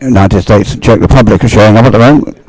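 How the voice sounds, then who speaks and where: A man giving live sports commentary in an explanatory, conversational way, thinking aloud in short, halting phrases. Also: fairly low pitch, darkish tone, no echo, low-quality recording.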